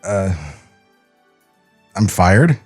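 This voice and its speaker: A man's voice: deep voice, animated, extremely slow, slightly rough, faint music behind, full character voice. A man playing a nervous, sheepish young studio artist in a comedy table read, stammering and apologetic. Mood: sheepish, hesitant.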